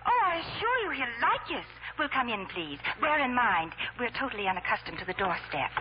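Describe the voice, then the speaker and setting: A woman's voice: fairly high pitch, very animated, fairly quick, a little hiss or hum. An actress playing a prim, self-assured, precocious teenage girl with formal, precise phrasing in a 1940s radio drama. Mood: politely insistent. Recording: muffled old radio broadcast with music.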